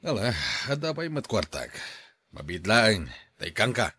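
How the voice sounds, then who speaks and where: A man's voice: on the low side, very animated, a little slow, bright, forceful tone, husky, no echo, full character voice. An actor playing a kindly older father looking after his grown daughter, in a Filipino radio drama with a Filipino accent. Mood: concerned, kindly.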